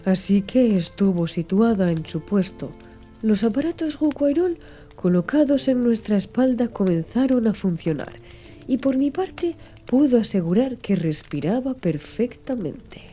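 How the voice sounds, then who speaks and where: A woman reading a literary audiobook in a measured, expressive storytelling manner. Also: fairly high pitch. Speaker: audiobook narrator. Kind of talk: scripted literary audiobook narration. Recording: music under the voice. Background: music.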